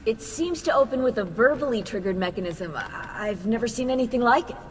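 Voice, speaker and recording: Actress as high-voiced tech analyst, long reverb. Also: female voice, lively intonation, brisk, slight background noise, close to an everyday voice. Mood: focused, frustrated. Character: brisk, matter-of-fact woman tech analyst. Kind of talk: video game dialogue acting.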